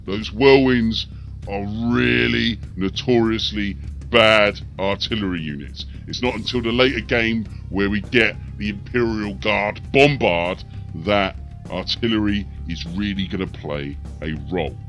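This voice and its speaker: A man's voice: on the low side, unhurried, creaky, a bit bright, faint music behind. A man giving tactical commentary on a video game as he plays, talking through each move and reacting to it. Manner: narrates tactics and reacts to the action. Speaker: gaming video host.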